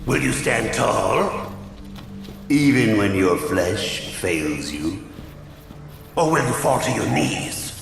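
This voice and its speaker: An actor playing a stern, cryptic spectral judge who issues solemn challenges in a video game cutscene. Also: male voice, fairly low pitch, lively intonation, slow, gravelly, long pauses, exaggerated voice. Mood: challenging. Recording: heavily echoing voice under loud music.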